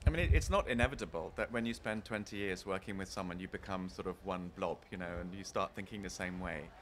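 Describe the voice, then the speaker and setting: A middle-aged man from Scotland, his voice on the low side, talks slowly and gropes for words with many pauses. He speaks into a handheld mic in a wide indoor room, with a faint hum in the background. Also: fairly bright tone. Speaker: designer, interview guest. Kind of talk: casual interview answers. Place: large indoor showroom. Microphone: handheld mic held by interviewer.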